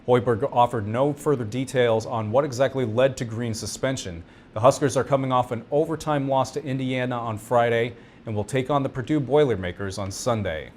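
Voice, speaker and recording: A man's voice: medium pitch, brisk, few pauses, darkish tone, slight background noise. A man delivering scripted news narration as an anchor and reporter.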